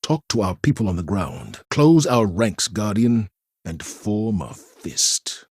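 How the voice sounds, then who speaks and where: An actor playing a stern, weary commander in a video game, speaking gravely and formally in measured phrases. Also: male voice, on the low side, sing-song, darkish tone, no echo, studio quality, full character voice. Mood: grave, resolute. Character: stern, weary, dutiful male military commander. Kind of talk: dramatic video game voice acting.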